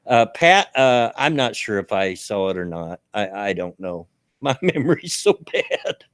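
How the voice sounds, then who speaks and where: Southern man, host, lively voice, stammering, dry mic. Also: lowish voice, unhurried, no echo. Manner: lively, stammering restarts, chuckles often. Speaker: podcast host. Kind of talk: casual political chat.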